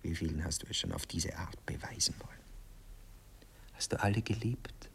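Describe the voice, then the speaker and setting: An actor playing a self-important, touchy poet and lover in a 1960s radio play, languid and theatrical, often sinking to a murmur. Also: male voice, low voice, normal speed, a bit bright, whispered, full character voice. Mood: languid, intimate. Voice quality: husky, breathy, gravelly.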